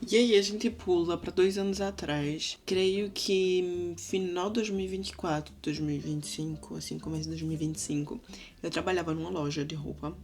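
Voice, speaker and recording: A woman's voice: on the low side, steady tone, normal speed, darkish tone, soft background music. Chatty Brazilian woman with a Recife accent. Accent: Recife Brazilian Portuguese. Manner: chatty, addresses viewers directly. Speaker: vlogger. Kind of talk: casual storytelling to camera.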